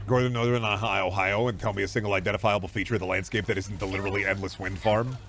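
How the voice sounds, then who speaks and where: A man's voice: fairly low pitch, steady tone, rapid-fire, fairly bright tone. A man from Iowa with an American accent chatting casually on a livestream, rambling and sardonic, repeating words for emphasis. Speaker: game streamer.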